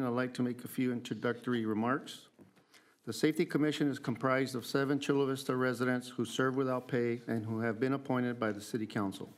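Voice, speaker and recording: A man chairing a city commission meeting, reading prepared opening remarks in a measured way. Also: medium pitch. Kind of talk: formal meeting procedure.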